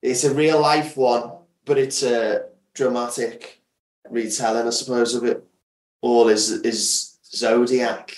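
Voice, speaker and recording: A man's voice comes through with a roomy echo, set back from the mic, at an average pitch. He talks casually as an interview guest, with enthusiasm, leaning on words and repeating them for emphasis. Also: level tone, slow, distant mic. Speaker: guest, audio drama creator.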